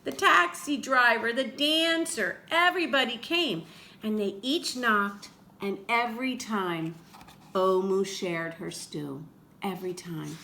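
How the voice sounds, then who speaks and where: A woman reading a picture book aloud to children in an expressive way, with chatty asides to her young listeners. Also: medium pitch. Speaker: read-aloud storyteller for children. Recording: roomy echo with faint background noise.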